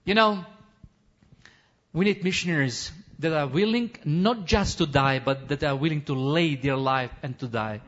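A man who is a missionary pastor, preaching an impassioned testimony sermon in non-native English, building to shouts, with frequent restarts. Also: fairly high pitch, moderate pace. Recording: dull lo-fi recording.

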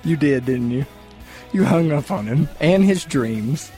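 A man's voice: fairly high pitch, lively intonation, a little slow, mellow, soft background music. A man in his thirties co-hosting a radio show, teasing a caller in mock-serious banter. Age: 30s.

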